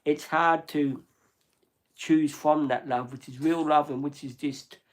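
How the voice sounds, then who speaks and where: A man's voice: a little high, steady tone, measured pace, a little hiss or hum. Blunt, chatty Australian man. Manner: blunt and chatty, swears casually. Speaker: livestream host. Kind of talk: casual chat on a livestream. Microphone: phone or camera on a livestream.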